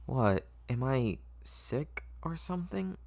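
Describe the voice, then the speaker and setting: Man voicing a timid, frightened little girl, pitched up. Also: big pitch swings, slow, darkish tone. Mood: confused, uneasy. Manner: hesitant, stammering.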